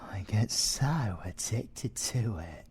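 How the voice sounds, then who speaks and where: A man's voice: on the low side, expressive, darkish tone, slightly rough, exaggerated voice. A British man playing a playful, possessive, seductive siren villain in a theatrical ASMR audio roleplay. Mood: obsessive, seductive. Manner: teasing, theatrical, drawn-out delivery with drops into whispering.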